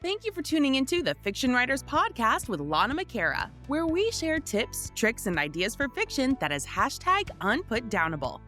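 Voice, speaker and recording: A woman's voice: high-pitched, huge pitch swings, normal speed, few pauses, a bit bright. A woman announcer reading a scripted promotional podcast outro. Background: music under the voice.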